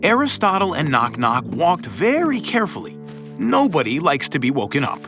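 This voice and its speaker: A man narrating a children's story in an animated, scripted storybook manner. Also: a little high, normal speed. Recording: music behind the voice.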